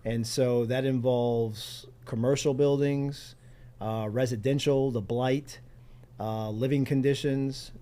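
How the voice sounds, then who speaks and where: A middle-aged or older man with a soft, mellow voice at an average pitch. He answers conversationally as a guest on a talk show. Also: steady tone, slow. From Pennsylvania, United States. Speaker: interview guest, community organizer. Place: radio studio.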